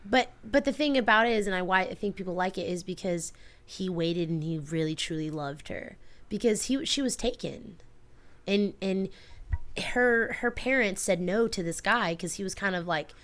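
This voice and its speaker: A woman's voice: medium pitch, moderate pace, a bit bright. A woman co-hosting a radio show in casual chat, telling stories in an earnest, rambling way. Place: community radio studio.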